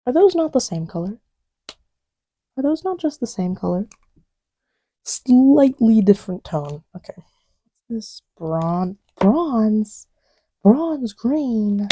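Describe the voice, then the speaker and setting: Soft-spoken woman murmuring, close and dry. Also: fairly high pitch, very animated, takes it slowly, pauses often, slightly rough, dry recording. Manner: soft, often half-whispered, murmuring to herself. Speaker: audio creator. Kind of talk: casual ASMR-style rambling while testing markers.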